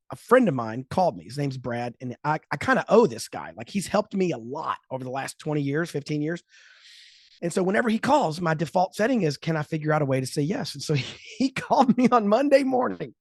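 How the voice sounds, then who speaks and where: Podcast host, 50s, male: lively storyteller, slightly higher voice, bone-dry studio sound. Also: brisk, no room sound. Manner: animated storyteller, acts out quotes, laughs often. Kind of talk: casual chat.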